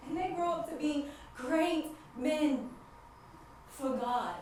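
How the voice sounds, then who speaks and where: Actress as anguished, devout wife, echoey stage monologue. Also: female voice, sits high, a little mellow. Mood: hopeful. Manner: emphatic, repeats phrases, dramatic pauses. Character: devout wife struggling with infertility, anguished yet hopeful. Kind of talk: theatrical stage monologue addressed to the audience. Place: stage play in a large echoey room. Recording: echoey hall from far off, background noise.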